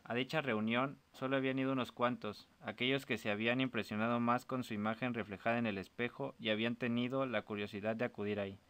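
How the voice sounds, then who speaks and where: Smooth, clear male storyteller. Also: mid-range voice, steady tone, fast, hardly any pauses, fairly bright tone. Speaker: story narrator. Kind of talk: scripted storytelling narration.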